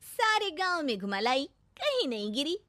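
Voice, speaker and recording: A woman's voice: sits high, sing-song, moderate pace, no echo, pitched above the performer's own voice, exaggerated voice. Spunky young village bride, lady doing the voice, playful, dry close sound. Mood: cheeky. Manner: cheeky, bright and playful. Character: spirited young village daughter-in-law.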